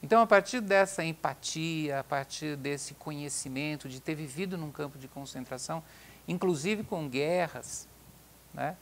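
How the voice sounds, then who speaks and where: A man's voice: a little high, moderate pace, a bit bright, slight background noise. A man interviewing a guest, putting his question in a formal, respectful way. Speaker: interviewer, psychology course coordinator.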